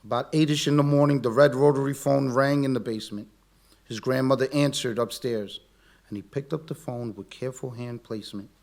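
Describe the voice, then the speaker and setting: A man in his forties performing a spoken word poem at an open mic, earnest, slow and heartfelt. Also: medium pitch, fairly even intonation, creaky, a little mellow. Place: community center event.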